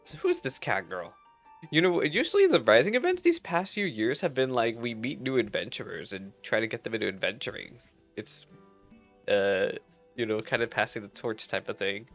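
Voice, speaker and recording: A man's voice: mid-range voice, sing-song, normal speed, a bit bright. A sleepy man chatting casually and self-deprecatingly as he comments on a game he is streaming. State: sleepy, just woke up. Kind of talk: casual livestream commentary over gameplay. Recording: music behind the voice. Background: game music.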